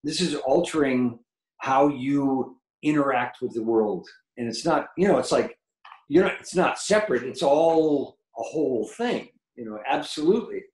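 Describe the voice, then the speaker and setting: An older man with a low baritone voice, chatting as a guest musician over a video call, rambling and enthusiastic with many fillers. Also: lively intonation, normal speed, a little mellow, echoey room, rough recording. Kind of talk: casual interview chat. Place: home room with painted mural, video call. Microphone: video call webcam.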